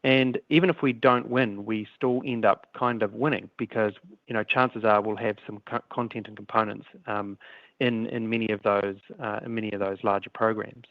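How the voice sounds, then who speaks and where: Echoey low-voiced male executive, halting delivery. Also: steady tone, highs cut off. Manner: halting, repeats and restarts words. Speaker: company executive answering analysts. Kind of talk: earnings call answers. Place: conference call line. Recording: echoey conference call audio, band-limited.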